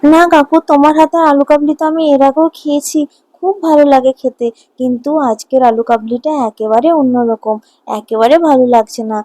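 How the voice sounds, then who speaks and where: A woman's voice: high-pitched, fairly even intonation, brisk, warm tone, no echo, lightly acted. A woman voicing a fussy, outspoken little boy in a cartoon, plaintive and questioning. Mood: disappointed. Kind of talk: Bengali cartoon morality tale acting.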